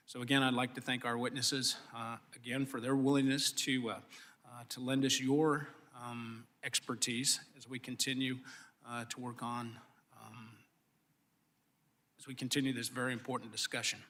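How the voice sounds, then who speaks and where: Male lawmaker, average pitch, bright forceful tone, hesitant formal remarks. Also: little pitch movement, moderate pace. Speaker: committee member making opening remarks. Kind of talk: formal but halting extemporaneous remarks.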